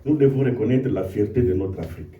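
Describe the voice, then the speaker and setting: A visiting preacher giving a testimony sermon in short phrases, pausing often so each line can be interpreted. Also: male voice, lowish voice, phone-quality sound, noisy background. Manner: short phrases paused for interpreting, rallying the crowd. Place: church hall. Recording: echoey hall, distant mic, crowd.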